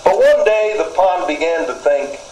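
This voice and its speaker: Older man, preacher, sermon, measured delivery, folksy asides, roomy echo, faint hiss. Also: on the high side, sing-song. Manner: slow, deliberate, folksy asides.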